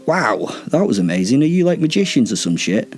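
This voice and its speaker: A man's voice: fairly low pitch, big pitch swings, brisk, a little mellow, faint music behind. A man voicing a cocky, flippant male werewolf in a story, sarcastic and full of exaggerated mock awe. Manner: sarcastic, mock-awed, sweary.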